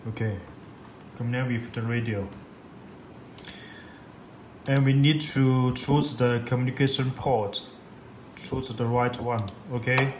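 A man giving a step-by-step software tutorial in halting, repetitive English. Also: medium pitch, steady tone. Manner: halting, repetitive non-native English phrasing. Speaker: product demonstrator. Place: indoors at a laptop on a desk. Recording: echoey room with clear background noise.

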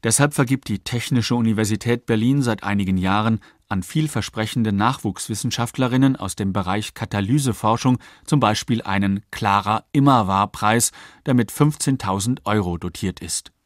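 A man narrating a scripted documentary in a factual, measured way. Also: on the low side, dry recording, studio quality. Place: dry studio.

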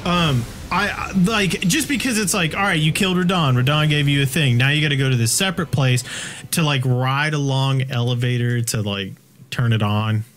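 A man in his thirties chatting casually while playing a video game, swearing freely and often restarting his sentences in a rambling, halting way. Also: mid-range voice, fairly bright tone. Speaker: video game player and co-host. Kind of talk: casual gaming chat.

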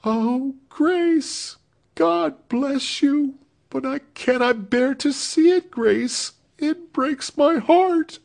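A man voicing a distraught young woman who is breaking down in tears, in a high, strained voice. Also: very slow, creaky, darkish tone, heavily acted. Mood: heartbroken.

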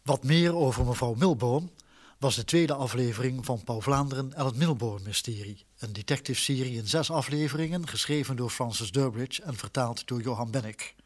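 A man's voice: medium pitch, fairly quick. Formal male vintage radio announcer. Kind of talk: formal vintage broadcast announcing, reading credits.

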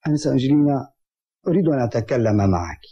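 Young gentleman doctor, mellow husky voice, courteous, earnest, serious, cartoon dub. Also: mid-range voice, expressive, muffled recording. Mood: serious, concerned. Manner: courteous, measured wording, earnest. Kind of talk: dubbed cartoon acting in formal Arabic.

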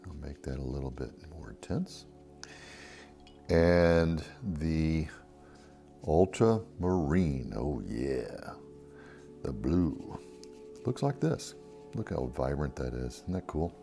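Brief: low-voiced male art tutor, unhurried delivery, soft guitar music under him. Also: animated, creaky. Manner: easygoing, unhurried, many ums and uhs. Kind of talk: relaxed step-by-step painting demonstration. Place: at a table, painting from overhead camera view.

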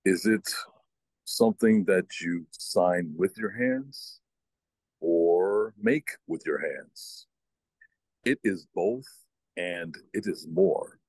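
Slow, husky, deep-voiced man reading. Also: darkish tone, no echo. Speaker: poet. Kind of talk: poetry reading. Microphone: phone at arm's length on a video call.

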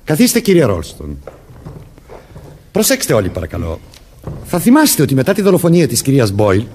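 Commanding police sergeant, wildly varied pitch. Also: male voice, a little mellow, slightly rough, exaggerated voice. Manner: commanding, formal, deliberate. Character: authoritative, insistent male police sergeant questioning suspects. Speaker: actor. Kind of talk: Greek radio theatre acting.

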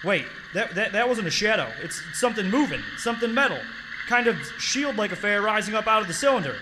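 A man's voice: sits high, lively intonation, quick pace, background noise. A man playing a confident army captain who grows alarmed while reporting from a battlefield in a 1930s-style radio drama. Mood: tense, alarmed. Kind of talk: 1930s radio drama reenactment, live performance.

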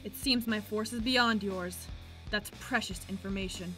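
A woman playing a cold, vengeful young avenger in a dramatic manga dub, speaking with deliberate, menacing intensity. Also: medium pitch, pushed and bright, soft background music, poor audio. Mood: cold menace.

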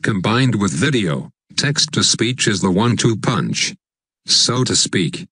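Synthetic male narrator, slightly low and husky. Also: big pitch swings, measured pace, bright, forceful tone, no echo. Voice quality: synthetic text-to-speech voice.

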